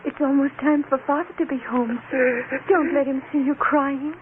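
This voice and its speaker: An actress playing a caring young daughter, tender and pleading, in vintage radio drama style. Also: female voice, high voice, steady tone, normal speed, close to an everyday voice. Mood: pleading, consoling. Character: anxious, caring young daughter consoling her mother. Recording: old narrow-band radio, faint noise.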